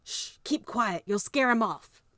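Casting: woman playing a gruff young country lad for an audiobook, folksy and stubborn, big-brother type. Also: fairly high pitch, expressive, unhurried, pushed and bright, dry recording, clean studio sound, voice pushed up. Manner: folksy, plainspoken. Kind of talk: children's audiobook character voice.

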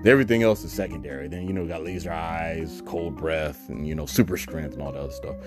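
A man vlogging, telling a rambling personal story full of asides and fillers in a voice he calls bassy. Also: fast talker, over music. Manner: animated, digressive, many fillers and asides; self-described bassy voice.

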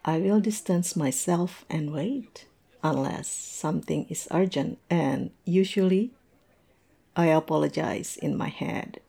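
A woman playing a tipsy, observant coworker in a conversational audio roleplay, musing and teasing in a halting way. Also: sits low, gravelly, warm tone, slightly rough, exaggerated voice. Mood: musing, candid. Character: tipsy, observant young woman chatting with coworkers over drinks.

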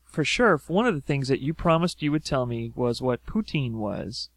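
A man's voice: medium pitch, very animated, moderate pace, no room sound. A man hosting a podcast, chatting casually and rambling with many fillers. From South Louisiana. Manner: rambling, filler-heavy storytelling. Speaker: podcast host. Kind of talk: casual chat.

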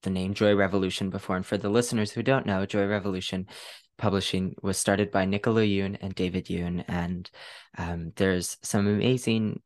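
A woman hosting a casual podcast interview, enthusiastic, with a halting delivery full of ums. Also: a bass voice, steady tone, a little mellow. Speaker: podcast host.

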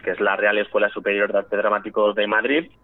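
A man's voice: lowish voice, monotone, fast talker, no echo. A young man from Spain, an actor chatting casually as a radio guest over the phone, friendly and full of fillers. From Spain, raised in Valladolid. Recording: tinny phone line.

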